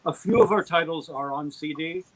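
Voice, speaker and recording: A man's voice: fairly high pitch, moderate pace, a bit bright, low-quality recording. A middle-aged man talking as an interview guest in an enthusiastic, rambling, self-deprecating storytelling way on an online call. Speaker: guest, audio drama producer. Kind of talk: conversational interview talk.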